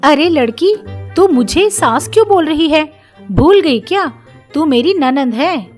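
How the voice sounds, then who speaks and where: A quarrelsome young daughter-in-law, acted by a woman narrator, high-voiced and sarcastic. She scolds and complains her way through a family storybook tale, over faint music. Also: expressive, measured pace. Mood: indignant.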